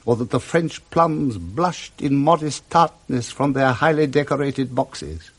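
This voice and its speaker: A man with a British accent giving expressive scripted narration of a classic story in 1960s radio style, relishing each detail. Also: medium pitch, measured pace, husky.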